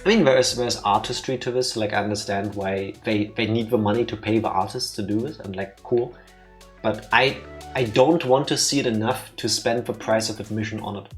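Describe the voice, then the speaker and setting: A man, talking casually as co-host of a game stream. He rambles and restarts his sentences, teasing his partner as he goes. Soft music plays under his voice. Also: on the low side, fairly even intonation, normal speed. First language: Dutch. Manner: rambling, self-correcting, teasing. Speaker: let's play co-host. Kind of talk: casual chat over gameplay. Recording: faint music behind.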